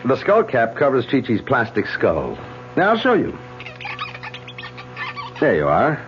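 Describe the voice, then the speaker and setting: An actor playing a polite, earnest surgeon-researcher in a vintage radio drama, speaking in a measured, explanatory way. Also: male voice, mid-range voice, wildly swooping pitch, soft background music. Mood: instructive. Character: courteous, earnest surgeon-researcher, concerned family friend. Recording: dull radio recording with hum and hiss.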